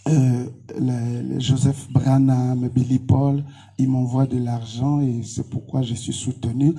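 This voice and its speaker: Man, Congolese accent, very dark and husky voice, emphatic repeated phrases, pastor in interview. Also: medium pitch, flat delivery, a little slow, faint music behind. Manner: emphatic, repetitive short declarations. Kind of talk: interview answers with preaching.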